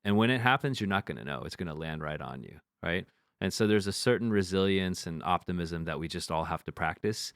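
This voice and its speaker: A man's voice: sits low, expressive, brisk, a bit bright, smooth, no room sound, clean studio sound. A man co-hosting a podcast, talking frankly in casual chat, swearing now and then and often restarting his sentences. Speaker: podcast co-host.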